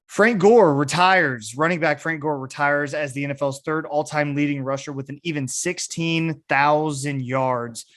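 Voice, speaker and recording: A man's voice: on the high side, normal speed, few pauses, a bit bright. A man hosting a sports podcast, giving casual, enthusiastic commentary like a keen fan.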